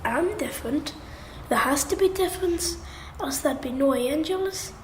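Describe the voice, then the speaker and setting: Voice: sits high, expressive, unhurried. A performer playing an earnest young boy with a Geordie accent who believes he is an angel, pleading and questioning in a radio drama. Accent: Geordie, north-east England. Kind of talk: naturalistic radio drama acting. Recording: roomy sound with faint background noise.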